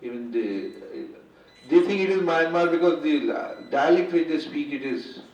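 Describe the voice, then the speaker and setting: An Indian man whose first language is Urdu gives an unscripted formal address at a symposium. He speaks in a halting way and often restarts and corrects himself. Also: mid-range voice, a little mellow. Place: large lecture hall. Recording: echoey hall, distant, muffled.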